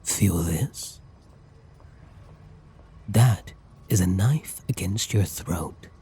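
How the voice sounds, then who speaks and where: A man performs as a masked male villain in an intimate ASMR audio drama. His voice is dark and breathy, often close to a whisper, and he speaks very slowly. He taunts and orders his hostage around with flirty, condescending menace. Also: low-pitched, heavily acted. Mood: menacing, taunting.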